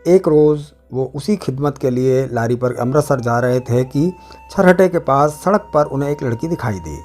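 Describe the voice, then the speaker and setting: A man's voice: medium pitch, moderate pace, a little mellow, soft background music. A man narrating a literary short story in a scripted, dramatic reading style.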